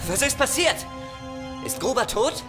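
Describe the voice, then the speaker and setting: Young, dutiful, worried male crewman played by an actor, animated, sci-fi audio drama. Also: extremely high pitch, unhurried, over loud music. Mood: anxious.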